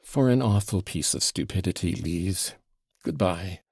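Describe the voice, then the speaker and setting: A man's voice: low voice, expressive, unhurried, vocal fry, a little mellow, breathy edge, no echo, clean studio sound, full character voice. A man voicing an earnest, remorseful young man who speaks in a sincere, pleading way.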